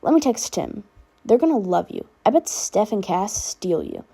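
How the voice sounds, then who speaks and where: A woman's voice: mid-range voice, huge pitch swings, moderate pace, vocal fry, warm tone, full character voice. A woman voicing a protective, sardonic teenage boy who talks casually and affectionately in a fan fiction audiobook. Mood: reassuring, excited. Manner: casual, rambling teen talk with filler words.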